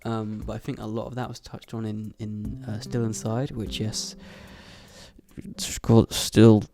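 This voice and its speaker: A young man with a stuffy nose from a cold, rambling casually to camera about moving into his student flat, swearing often and laughing between thoughts. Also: lowish voice, a little slow, soft background music. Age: young adult. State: congested from a cold. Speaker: YouTuber. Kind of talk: casual vlog chat to camera.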